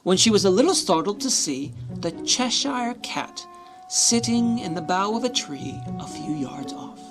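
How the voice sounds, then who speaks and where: A woman's voice: mid-range voice, animated, unhurried, music in the background. A woman narrating a storybook radio play from a script.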